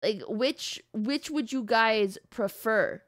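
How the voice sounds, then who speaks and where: A woman's voice: fairly high pitch, slow pace, dry recording, clean studio sound. A woman livestreamer chatting casually and playfully with her live audience in an informal, mildly sweary way. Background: background music.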